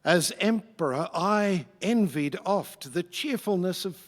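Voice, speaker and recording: An actor playing a humbled, grateful former Roman emperor in a radio play, speaking rhyme in a halting, polite, theatrical way. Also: male voice, sits high, expressive. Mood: wonderstruck, happy. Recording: echoey hall with long reverb.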